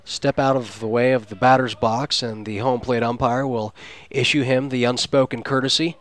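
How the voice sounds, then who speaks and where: A man's voice: mid-range voice, steady tone, moderate pace, a bit bright. A man calling a baseball game live on radio as play-by-play announcer, with descriptive, flowery phrasing. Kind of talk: live sports radio commentary.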